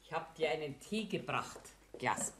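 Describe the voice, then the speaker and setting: A woman's voice: low-pitched, expressive, measured pace, very noisy. An actress with a Bavarian accent playing a fussing, nosy, mothering woman in a 1960s German radio play. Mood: soothing.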